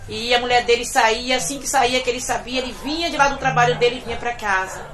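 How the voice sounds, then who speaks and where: Woman from bahia telling her own story, earnest and reflective, a bit high voice, middle aged. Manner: earnest, reflective, often trails off quietly. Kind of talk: personal testimony.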